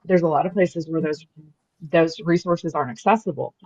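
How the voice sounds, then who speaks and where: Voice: medium pitch, fairly quick, dry recording. Emphatic teacher on dull, dry audio. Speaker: teacher in conversation. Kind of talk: casual conversational interview.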